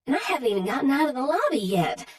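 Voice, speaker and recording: A woman's voice: high-pitched, fast talker, voice pushed up. Woman doing a gushing Southern gal. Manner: gushing, excitable, chatty. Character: bubbly gushing Southern woman, early thirties.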